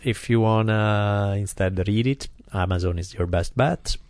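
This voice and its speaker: Low-voiced Italian-accented podcast host. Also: male voice, measured pace, darkish tone, no room sound. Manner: chatty, rambling with many fillers. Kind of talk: casual podcast chat. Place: quiet recording studio.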